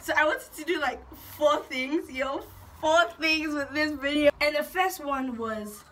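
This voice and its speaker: A young woman from Ghana chatting casually and excitedly in an intro vlog, giggly and animated, recorded in a small bedroom. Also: sits high, unhurried, noisy background. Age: young adult. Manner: bubbly, giggly, animated, gesturing. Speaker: YouTube vlogger.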